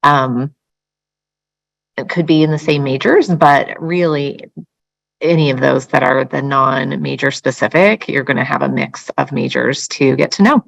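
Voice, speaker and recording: A woman's voice: a bass voice, measured pace, gravelly, dry recording. A woman from a housing staff answering enthusiastically, thinking aloud with many fillers.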